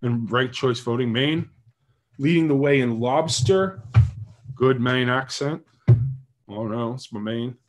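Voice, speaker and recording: A man hosts a casual livestream podcast about politics. He jokes around and chats freely, thinking out loud as he goes. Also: mid-range voice, slow pace, pauses often, soft background music. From New Hampshire. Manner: joking, chatty, thinks aloud. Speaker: podcast host.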